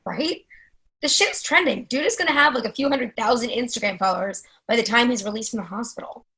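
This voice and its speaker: A brash, intense woman in her late twenties acted out in a play reading, swearing freely. Her voice is high, forceful and raspy at the edges. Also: brisk, exaggerated voice, poor audio. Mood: excited. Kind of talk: readers theatre play reading.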